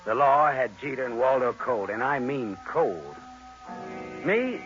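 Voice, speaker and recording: An actor playing a tough, sardonic American private detective in 1940s radio crime drama style, with a needling, clipped delivery. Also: male voice, mid-range voice, very animated, a little slow, fairly bright tone. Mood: wry narration. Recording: muffled vintage radio recording with music.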